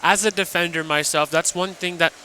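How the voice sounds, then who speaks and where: A man with a slightly high, very bright voice gives hockey analysis as a color commentator. His delivery is conversational, with faint arena noise around him. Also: steady tone, fairly quick. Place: at an indoor ice arena.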